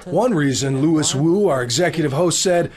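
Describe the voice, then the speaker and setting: A man's voice: on the high side, moderate pace, a little mellow. A man reading scripted news narration as a television reporter.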